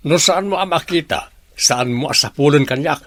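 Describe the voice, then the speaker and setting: A man's voice: fairly high pitch, moderate pace, a bit bright, no room sound. A man playing an irritable, scornful brother-in-law in a radio drama, with a Filipino accent. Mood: irritated, scornful.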